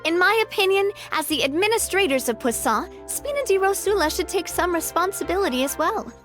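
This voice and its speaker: Young female leader, high voice, lively melodic range, earnest, breezy, friendly, video game character, music behind. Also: fairly quick.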